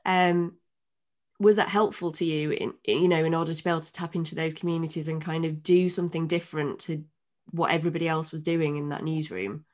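Hesitant woman interviewer, lowish voice. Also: fairly even intonation, brisk. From England. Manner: hesitant, filler-heavy questioning. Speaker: interviewer, host. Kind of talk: conversational interview questions.

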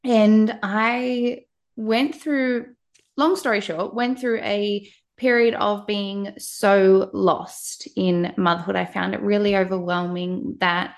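A woman's voice: medium pitch, fairly even intonation, takes it slowly. Enthusiastic Australian woman guest.

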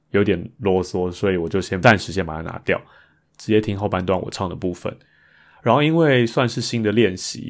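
A man with a Taiwanese Mandarin accent, hosting a podcast in a reflective, casual monologue. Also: sits low. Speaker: podcast host. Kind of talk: reflective casual monologue about improvised songs.

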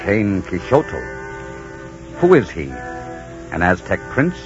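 An actor playing a headstrong, romantic conquistador in melodramatic, emphatic 1940s radio drama style. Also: male voice, lowish voice, big pitch swings, slow pace, over music. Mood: disbelieving. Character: passionate Spanish conquistador, headstrong romantic hero. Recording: dull, muffled 1940s radio recording.

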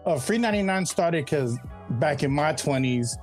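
A man's voice: a little high, animated, normal speed. Middle-aged guy, podcast guest, loose and slangy, easygoing talker, faint music behind. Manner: laid-back, rambling, slangy with frequent "you know what I mean". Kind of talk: casual interview chat.

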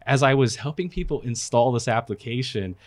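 Male guest, average pitch, easygoing storyteller who talks freely. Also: fairly quick. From Philippines, raised in California. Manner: friendly, talkative, frequent uh fillers. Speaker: guest, product manager. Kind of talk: casual podcast interview.

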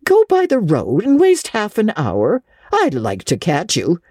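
A stern older woman acted by a storybook reader speaks in a low, mellow register. She is brisk, practical and dryly sarcastic, with no patience for nonsense. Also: wildly swooping pitch, breathy edge, dry recording, studio quality, exaggerated voice. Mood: brisk, impatient. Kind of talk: dramatic audiobook reading of classic children's literature.

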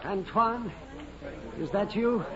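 An actor playing a bitter, excitable half-blind stonecutter in an old radio drama, theatrical and exclamatory. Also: male voice, sits high, slow pace. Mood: bitter, hopeless. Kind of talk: 1940s radio drama acting. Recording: muffled old radio, crowd behind.

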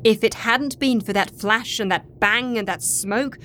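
A woman's voice: a little high, unhurried, a bit bright, slight background noise. A woman playing an earnest, tactful and practical team member in a comedy audio drama.

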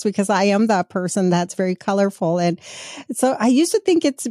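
A woman's voice: medium pitch, mellow, no room sound, studio quality. A woman hosting a podcast, asking questions in a friendly, chatty way with light teasing. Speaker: podcast host. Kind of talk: podcast interview.

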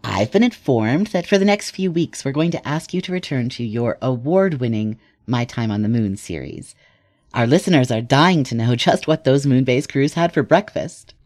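Audio drama role, male actor: slick, wry news anchor, medium pitch, close dry studio sound, smugly polished. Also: animated, moderate pace, darkish tone, no room sound, natural voice. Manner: polished, wry announcer delivery. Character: smooth, smug radio news anchor.

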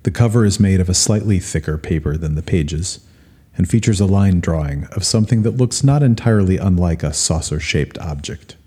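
A man playing a deadpan, wry narrator in an audio play, with a husky voice. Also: low voice, brisk. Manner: deadpan, dry.

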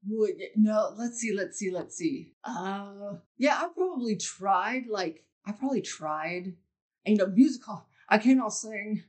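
A woman with a deep voice, talking in a blunt, rambling way as a podcast guest, swearing freely. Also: lively intonation, unhurried, a little mellow. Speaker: guest comedian. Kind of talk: casual podcast chat.

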